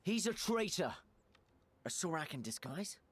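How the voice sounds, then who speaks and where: A man's voice: high-pitched, sing-song, a little slow, a bit bright, husky, full character voice. A man playing a stern, cautious fantasy adventurer in a video game, speaking formally and curtly. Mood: accusing. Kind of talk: dramatic video game dialogue.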